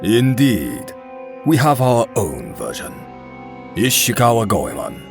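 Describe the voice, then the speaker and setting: Man as a deep, gravelly samurai archer. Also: sing-song, exaggerated voice. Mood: dignified, reflective. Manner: formal, deliberate. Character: dignified, reflective samurai archer. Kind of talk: fan-dub game character acting. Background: music.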